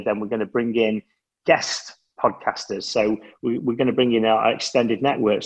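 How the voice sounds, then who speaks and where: Husky-voiced man explaining plans. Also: fairly low pitch, rough recording. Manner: measured, explanatory. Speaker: podcast co-host and startup founder. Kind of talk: casual podcast chat.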